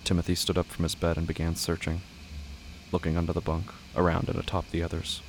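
A man narrating an audio drama in a measured, deliberate, literary way with a gravelly voice. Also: low voice, monotone, a little hiss or hum, low-quality recording.

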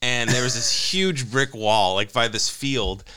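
A man's voice: mid-range voice, measured pace, sharp tone, clean tone. A middle-aged man telling anecdotes in casual conversation as a panelist on a talk show.

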